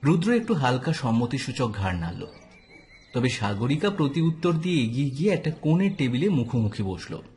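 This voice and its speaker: A man's voice: mid-range voice, sing-song, brisk, a little mellow. A man narrating a scripted detective story as an audio drama narrator.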